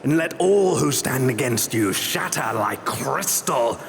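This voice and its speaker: A man's voice: mid-range voice, animated, slow pace, vocal fry, a little hiss or hum. A man playing a scheming revolutionary pony leader giving a grand, oratorical rallying speech in a fan radio drama. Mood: rousing. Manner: grand, oratorical, building to a shout. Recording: long hall reverb.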